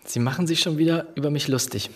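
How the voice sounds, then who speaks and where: An actor playing an earnest, polite, concerned young writer in a German radio drama, speaking a little hesitantly. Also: male voice, a little high, fast talker, husky. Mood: apologetic, polite.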